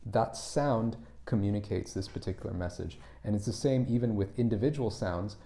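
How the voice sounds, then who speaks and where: A man with a near-American English accent talks to camera as a language coach. His voice is low and warm, and his delivery is chatty and conversational, with frequent restarts as he works through a thought. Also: normal speed, slight background noise. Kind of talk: casual talk to camera.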